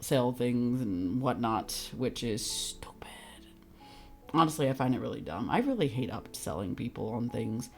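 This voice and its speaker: A young adult game streamer chatting casually with viewers in a sweary, giggly, teasing manner. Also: mid-range voice. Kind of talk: casual livestream chat with viewers.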